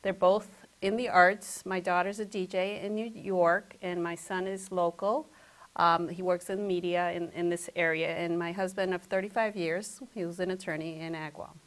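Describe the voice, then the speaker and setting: A woman in her fifties, born in Ecuador and raised in Massachusetts, answering interview questions in a halting, conversational way. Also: on the low side, fairly even intonation. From born in Ecuador, raised in Massachusetts from age eight. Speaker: school principal, interview guest.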